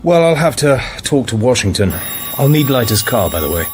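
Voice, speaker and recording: A man's voice: medium pitch, very animated, brisk, soft background music, close to an everyday voice. A man playing a cool, dry British secret agent in a radio drama, with a British accent and clipped delivery. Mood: cool, polite.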